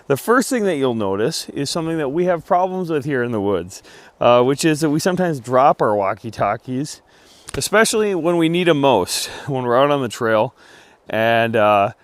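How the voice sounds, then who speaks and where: A man giving a casual outdoor gear review, speaking in a halting way as he thinks aloud. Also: mid-range voice, expressive, no echo.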